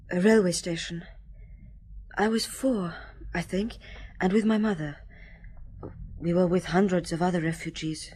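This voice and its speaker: An actress playing a distraught wife in a radio drama, emotional and pleading. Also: female voice, lowish voice, mellow, lightly acted. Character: distraught grown woman, wife of suspect.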